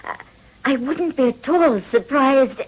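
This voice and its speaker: A woman's voice: sits high, unhurried, low-quality recording. An actress playing a knowing, fond middle-aged society mother with an upper-class English accent and an arch manner in a period radio drama. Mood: knowing, pleased.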